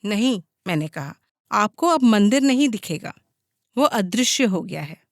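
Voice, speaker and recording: A woman's voice: medium pitch, lively intonation, a little slow, dry recording, studio quality. A woman reading a scripted audiobook as its narrator.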